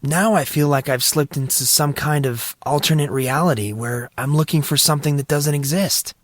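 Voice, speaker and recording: A man's voice: on the high side, normal speed, no room sound, voice pushed up, lightly acted. A man voicing an awed, uneasy teenage boy with a hesitant, questioning manner in an audiobook. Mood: earnest confession. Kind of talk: audiobook character dialogue.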